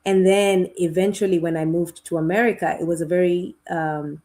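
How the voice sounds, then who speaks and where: A middle-aged woman from Kenya with a Kenyan accent, speaking as a guest on a video-call interview in a thoughtful, unhurried way. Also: lowish voice, steady tone, darkish tone. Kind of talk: conversational interview.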